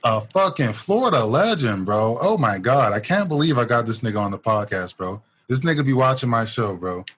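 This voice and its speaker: A man with a Baltimore accent hosting a podcast, excitable and profane, laughing often. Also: mid-range voice, normal speed, hardly any pauses, breathy edge. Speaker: podcast host. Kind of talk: casual podcast chat.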